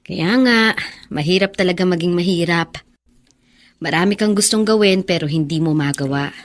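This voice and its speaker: An actress with a Filipino accent playing a poor, orphaned young bar hostess in a radio drama, wistful and soft-hearted. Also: female voice, on the low side, moderate pace, natural voice. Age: young adult.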